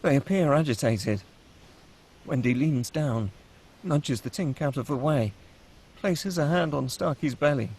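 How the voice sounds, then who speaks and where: Husky, higher-pitched male narrator. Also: a little slow, darkish tone, poor audio. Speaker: narrator reading stage directions. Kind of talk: scripted screenplay narration at a table read.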